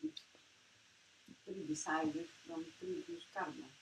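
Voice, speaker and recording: A woman chatting casually in a halting, rambling way, often repeating her phrases. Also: low-pitched, a little hiss or hum, low-quality recording. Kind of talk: casual chat.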